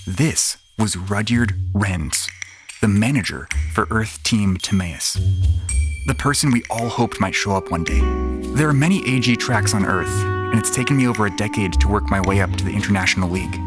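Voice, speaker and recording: A man's voice: lowish voice, normal speed. A man reading first-person sci-fi narration in a brooding, hard-boiled manner. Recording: loud music under the voice.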